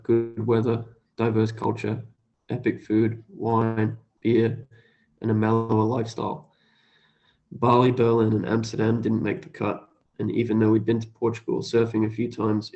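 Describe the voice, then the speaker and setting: Flat, creaky man, dull audio. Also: on the low side. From Australia. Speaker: interview guest, cafe owner. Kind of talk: casual, low-key chat and plain reading aloud.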